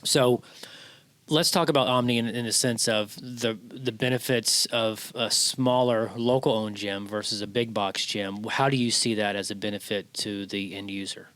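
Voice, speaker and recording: A man's voice: lowish voice, fairly even intonation, moderate pace, a bit bright, dry recording. A man of about fifty hosting a casual, conversational interview. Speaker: interview host.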